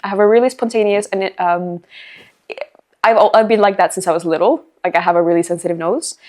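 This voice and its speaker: A young woman, an artist guest talking in a casual interview, bubbly and quick to giggle. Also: medium pitch, fairly even intonation, darkish tone. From Finland. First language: Finnish.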